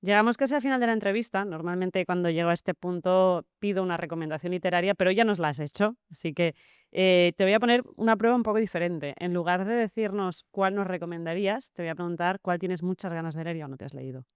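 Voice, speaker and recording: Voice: medium pitch, fast talker, smooth, dry recording, studio quality. An interviewer hosting a literary program, asking questions and nodding along agreeably. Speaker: interviewer host.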